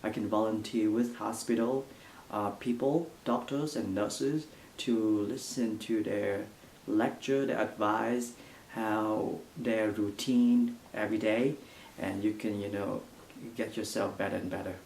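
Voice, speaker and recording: Young man, Vietnamese accent, low gravelly voice, halting interview answers in a roomy echo. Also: fairly even intonation, darkish tone, a little hiss or hum. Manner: halting non-native English with frequent fillers. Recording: echoey room.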